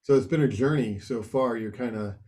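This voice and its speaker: A man hosting an online panel with a mellow, darker voice. He asks questions in an encouraging way, often restarting his sentences mid-thought. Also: mid-range voice, brisk. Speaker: host.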